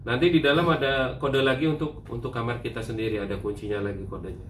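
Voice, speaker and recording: A man's voice: medium pitch, fairly even intonation, fast, roomy sound, a little hiss or hum. A man in his thirties, a travel vlogger, chatting casually in a hotel room and explaining things step by step. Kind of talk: casual chat.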